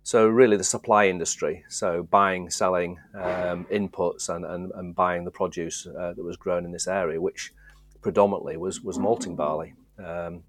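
Low-voiced man, rambling. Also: steady tone, moderate pace, slight background noise. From Yorkshire, England. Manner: easygoing, rambling, frequent restarts. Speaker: guest, distillery co-founder. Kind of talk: casual interview chat.

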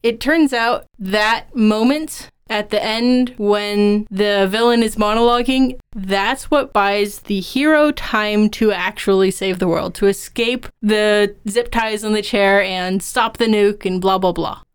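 Woman podcaster with a very clear, crisp voice. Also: medium pitch, slow pace, hardly any pauses. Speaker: podcast co-host. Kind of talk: conversational podcast discussion of writing craft.

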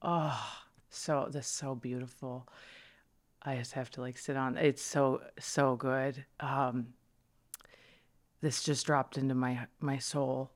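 A podcast host chatting in an interview, gushing with warm affirmations and often restarting sentences. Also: mid-range voice, little pitch movement, takes it slowly. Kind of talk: casual interview chat.

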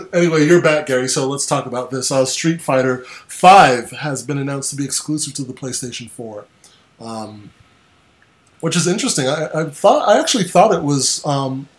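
Twenty-something guy hosting a gaming podcast, chatty, laughs easy, lots of ums. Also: mid-range voice. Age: 20s. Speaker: podcast host. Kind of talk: casual gaming podcast chat.

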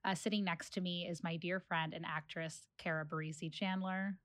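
A woman's voice: lowish voice, steady tone, normal speed, a bit bright, no echo. A young American woman giving a casual podcast introduction as the host, speaking close to the microphone.

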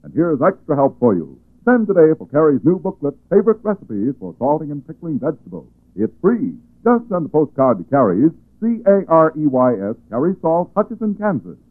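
Animated male vintage radio pitchman. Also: brisk, few pauses. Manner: polished, emphatic salesmanship. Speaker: radio announcer and sponsor pitchman. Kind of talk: 1940s radio advertising announcing. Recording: tinny, band-limited 1940s radio recording.

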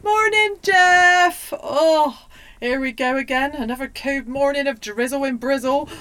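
A woman's voice: high-pitched, a little slow, noisy background. A woman playing a weary, competitive ambulance worker in a comedy sketch. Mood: weary, one-upping.

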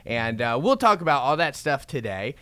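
A young man hosts a relaxed church conversation, his voice bright and crisp. He tells stories in an animated, chatty way and laughs easily. The sound is dry and close, with no room around it. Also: medium pitch, moderate pace, no echo. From Florida. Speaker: podcast host. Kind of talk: casual interview chat.

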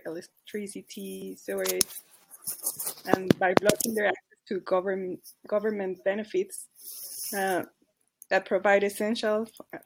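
A woman's voice: medium pitch, gravelly, breathy edge, poor audio. Mexican accent lady on a podcast, earnest, keeps fixing her words mid-sentence. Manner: earnest, careful, with restarts and self-corrections. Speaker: charity advocacy worker. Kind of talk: podcast guest telling a case story.

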